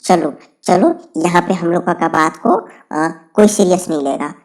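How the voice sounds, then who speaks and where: A woman voicing a frightened, grumbling dwarf in a Hindi cartoon, with halting, stammering speech. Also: medium pitch, lightly acted. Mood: indignant. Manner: rustic, pleading, repeats phrases.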